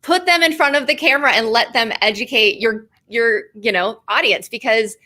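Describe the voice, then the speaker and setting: Woman, 30s, interview guest, bright tone, casual and talkative. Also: a little high, moderate pace. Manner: chatty, says "like" often, laughs easily. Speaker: guest, video marketing expert.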